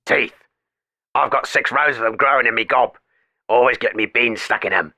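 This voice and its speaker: A man's voice: medium pitch, normal speed, bright, forceful tone, dry recording. A man voicing a dim-witted, hesitant working-class caller in a comedy radio sketch. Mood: dopey.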